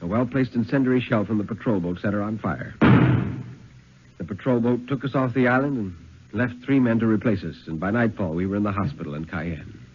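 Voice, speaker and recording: A man playing a steely, haunted lighthouse keeper who recounts his ordeal with dramatic, measured urgency in a 1950s radio reading. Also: on the low side, noisy background, natural voice. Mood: wry, reflective. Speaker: story reader. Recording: dull vintage radio recording.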